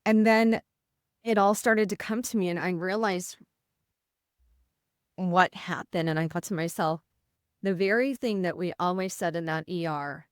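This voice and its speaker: A middle-aged woman nurse with a Midwestern American accent, speaking with a voice that can sound slightly rough, telling a personal story in an interview. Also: fairly low pitch, a little slow, long pauses, no echo, studio quality. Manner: earnest, vivid retelling with quoted inner thoughts. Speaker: nurse, podcast guest.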